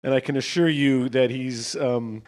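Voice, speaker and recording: A man's voice: mid-range voice, steady tone, unhurried, a little mellow. A man hosting a conference session, giving an informal, somewhat hesitant introduction of a speaker.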